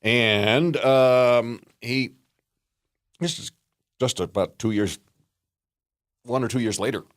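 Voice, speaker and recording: A middle-aged man with a deep voice, chatting as a podcast guest, rambling with many pauses and restarts. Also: dry recording, clean studio sound. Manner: rambling, halting, lots of ums and restarts. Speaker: guest, actor and comedian. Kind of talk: casual chat.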